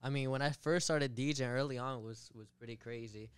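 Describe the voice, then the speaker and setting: A man's voice: mid-range voice, fairly even intonation, fairly quick, clear, resonant tone. A young American man, a guest DJ, chatting easily on a podcast and slipping into Spanish now and then. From United States. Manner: easygoing, mixes Spanish into English. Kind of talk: casual podcast chat. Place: small podcast studio indoors. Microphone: boom mic on arm.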